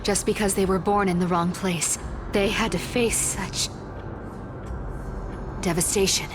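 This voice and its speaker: A woman's voice: medium pitch, steady tone, measured pace, music in the background, natural voice. A woman playing a sly, carefree young female thief in a video game, speaking in a wry, teasing and nonchalant way. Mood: wry, musing. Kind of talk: video game character dialogue.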